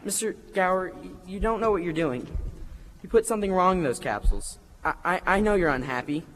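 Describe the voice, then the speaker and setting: Man playing an earnest bright-voiced young boy. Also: high voice, animated, brisk. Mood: frightened, pleading. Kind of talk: stage radio play acting. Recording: hall reverb on a stage.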